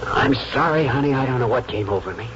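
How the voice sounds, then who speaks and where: An American actor playing an earnest, principled lawyer and devoted husband, speaking reflectively and sincerely in a 1940s radio drama. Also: male voice, medium pitch, expressive, quick pace, noisy background, close to an everyday voice. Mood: apologetic. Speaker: lead actor. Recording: dull 1940s radio recording, music behind.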